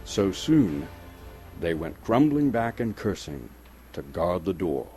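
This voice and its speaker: A man from Canada giving a dramatic, suspenseful storybook narration with theatrical, deliberate phrasing. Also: deep voice, big pitch swings, dark tone. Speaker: actor reading a story aloud. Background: music.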